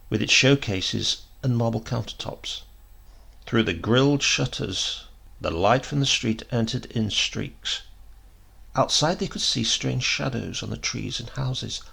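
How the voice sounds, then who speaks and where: A man narrating a short story as a dramatic audiobook reading, with deliberate phrasing and emphasis. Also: on the low side, gravelly, pushed and bright, husky.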